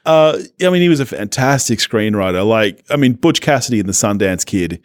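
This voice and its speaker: A man's voice: lowish voice, expressive, fairly quick, dry recording, studio quality. A man co-hosting a podcast, chatting casually about films in an enthusiastic, jokey way. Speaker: podcast co-host. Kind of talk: casual film discussion.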